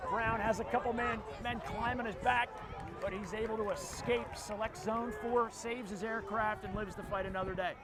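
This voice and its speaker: A man with a Philadelphia accent calling a lacrosse game play by play, quick and jokey, shouting on big plays. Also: high voice, fairly even intonation. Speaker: play-by-play commentator. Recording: outdoor broadcast with loud crowd and noise.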